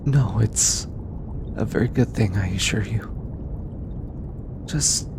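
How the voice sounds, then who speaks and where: A man playing a gentle, shy, affectionate alien scientist in an intimate roleplay audio, speaking tenderly and hesitantly in formal, earnest phrases. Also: on the low side, slow pace, vocal fry, background noise, full character voice. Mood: vulnerable, affectionate.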